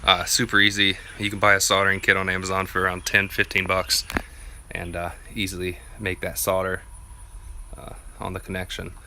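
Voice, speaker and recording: A man's voice: low voice, monotone, a little fast, sharp tone, slight background noise. A man giving a casual, unscripted how-to walkthrough in a plainspoken, laid-back way, as a vlogger would. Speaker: vlogger presenting a DIY gear tutorial.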